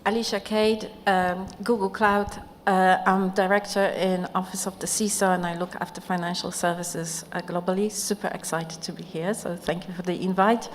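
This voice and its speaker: A woman panelist introducing her role, soft-spoken with some fillers. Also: fairly low pitch, level tone, normal speed, few pauses, a little hiss or hum. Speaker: panelist, cloud security director. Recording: hall reverb with faint ambience.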